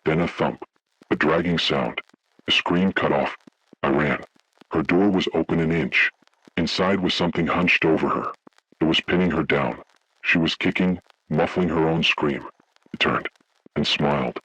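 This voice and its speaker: A man voicing a haunted, grave survivor, narrating a scripted first-person horror story in slow, deliberate, ominous phrases with a gravelly, husky voice. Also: very deep voice, fairly bright tone, dry recording, full character voice, rough recording. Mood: grave dread. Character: haunted man recounting childhood motel horror, grave, dread-filled.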